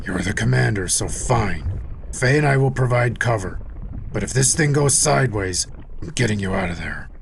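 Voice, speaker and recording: An actor playing a gruff, protective sharpshooter soldier in an audio drama, terse and serious. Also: male voice, lowish voice, animated, moderate pace, a little hiss or hum. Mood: resolute, protective.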